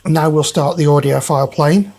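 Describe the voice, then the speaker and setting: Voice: medium pitch, fairly even intonation, measured pace, music in the background. A person giving casual hands-on repair commentary, thinking aloud while working at the bench. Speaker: presenter demonstrating equipment repair.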